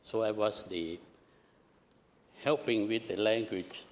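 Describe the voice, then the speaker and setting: An older man from southern Laos with a Lao accent, speaking hesitantly in farewell remarks at a public meeting. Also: lowish voice. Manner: halting, hesitant, restarts phrases. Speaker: retiring commissioner. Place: council meeting chamber.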